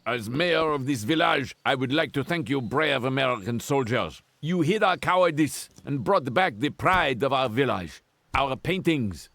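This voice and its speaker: An actor playing a pompous village mayor giving thanks in a cartoon game. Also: male voice, mid-range voice, lively intonation, moderate pace, fairly bright tone. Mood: formal.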